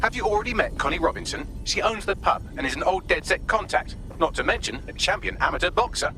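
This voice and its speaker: A man's voice: high voice, expressive, fast, vocal fry, sharp tone, slight background noise, exaggerated voice. A man with a British accent playing a sarcastic, smug artificial intelligence assistant in a video game, with droll, pompous, articulate delivery. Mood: dry, informative. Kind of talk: scripted video game voice acting.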